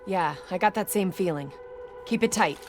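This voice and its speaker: An actress playing a tense, commanding squad soldier calling out orders in an action video game. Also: female voice, on the low side, normal speed, music in the background, close to an everyday voice. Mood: urgent.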